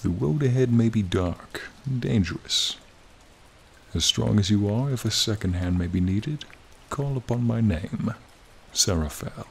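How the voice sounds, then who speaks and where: Male actor as ancient friendly dragon, low-pitched, very slow, soothing, formal, charming, ASMR roleplay, faint rain behind. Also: gravelly, slight background noise. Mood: fond farewell. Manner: formal, gently teasing, unhurried. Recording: rain and cave ambience behind.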